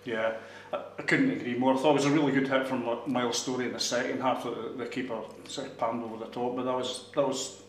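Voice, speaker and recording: A man's voice: medium pitch, little pitch movement, fast, hardly any pauses. A man interviewing a footballer for a club TV channel, asking questions in a chatty, conversational way. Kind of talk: post-match interview questions. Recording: roomy with faint hum.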